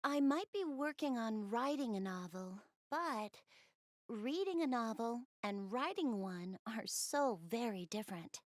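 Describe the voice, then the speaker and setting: A woman's voice: a little high, lively intonation, measured pace, no echo, clean studio sound. A woman voicing a shy, bookish young goddess in a video game, speaking hesitantly and earnestly. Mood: dejected, pensive. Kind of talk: video game character voice acting, intimate one-to-one dialogue.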